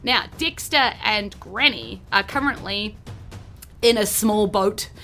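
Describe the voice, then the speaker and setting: A woman with a New Zealand accent hosting a podcast as dungeon master in a lively, casual style. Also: mid-range voice, takes it slowly, soft background music. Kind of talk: lively casual podcast intro and game recap.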